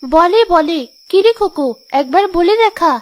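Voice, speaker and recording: A woman playing a doting village wife in a cartoon story, speaking in a dramatic, expressive way. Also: extremely high pitch, normal speed. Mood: affectionate. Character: childless village wife, doting on her pet goose as a daughter. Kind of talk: Bengali cartoon story dialogue.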